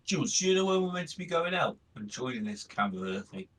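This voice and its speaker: A man with a raspy, husky voice talking in character as a tabletop roleplay player. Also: medium pitch, sing-song, quick pace, fairly bright tone.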